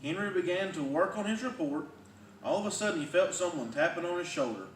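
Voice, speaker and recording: A man reads a picture book aloud with a lot of movement in a slightly high voice. The recording is roomy, with the mic some way off. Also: expressive, brisk. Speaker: firefighter reading a children's book. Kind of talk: animated storybook read-aloud. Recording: roomy, distant mic, faint noise.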